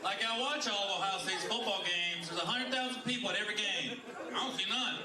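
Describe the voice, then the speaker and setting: A man's voice: high voice, quick pace, recorded from a distance, background noise. A man performing a stand-up comedy set with an incredulous observational delivery. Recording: roomy hall from afar with loud crowd.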